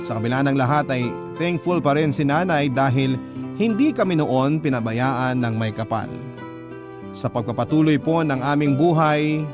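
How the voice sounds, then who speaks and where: A man's voice: medium pitch, close to an everyday voice. A man with a Filipino accent narrating a hard life story as a scripted radio letter, sincere and reflective.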